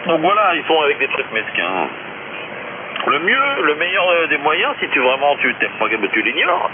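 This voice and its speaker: A man's voice: fairly high pitch, huge pitch swings, normal speed. A man chatting casually as an amateur radio operator, rambling and slangy, over a shortwave transmission. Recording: noisy narrowband shortwave radio, heavy static.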